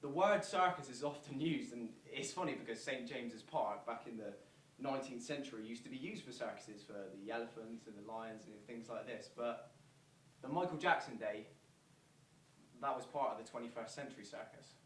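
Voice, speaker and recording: A man's voice: medium pitch, moderate pace, natural voice. Earnest fan recounting memories, verbatim theatre, played by a man. Distant mic, faint noise. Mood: reflective. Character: earnest football fans recalling a celebrity visit, verbatim theatre. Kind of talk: verbatim monologue performed on stage. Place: theatre performance space, distant microphone. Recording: roomy stage recording from afar, faint noise.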